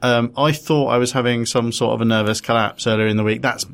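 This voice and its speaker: A man's voice: medium pitch, steady tone, fast talker, creaky. A young man from London co-hosting a podcast, chatting casually with self-deprecating, rambling humor. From London, England. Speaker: podcast co-host.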